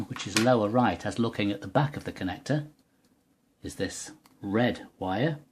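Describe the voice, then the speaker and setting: A man's voice: fairly low pitch, a little mellow, husky. A man giving a methodical, precise step-by-step technical demonstration as a tutorial presenter. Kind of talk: step-by-step hands-on product demonstration.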